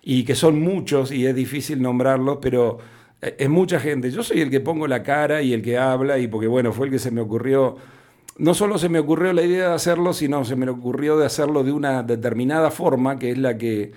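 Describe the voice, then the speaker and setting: A man's voice: mid-range voice, brisk, a little mellow. Argentine man telling stories in an interview. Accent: Argentine Spanish. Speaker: festival founder being interviewed. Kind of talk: conversational radio interview, telling anecdotes.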